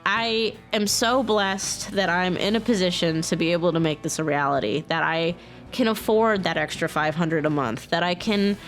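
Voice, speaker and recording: A woman talking casually and earnestly to her viewers, excited and informal in manner, as a video creator. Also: lowish voice, moderate pace, faint music behind. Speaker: video creator and game modder. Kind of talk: casual, personal talk to viewers.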